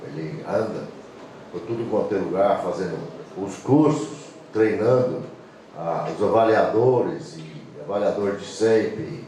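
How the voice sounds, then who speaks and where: A man with an English accent and a low voice explains things informally to an audience. His phrasing is halting, that of a native English speaker presenting as a guest. He sounds far from the microphone in a heavily echoing room. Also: dark tone. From England. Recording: echoey room, distant mic, clear noise.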